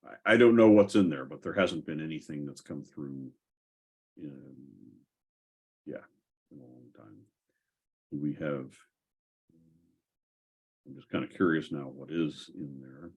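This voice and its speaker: A man hosting a casual tech discussion, speaking haltingly as he thinks aloud. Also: a bass voice, slightly rough. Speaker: host of a software community call.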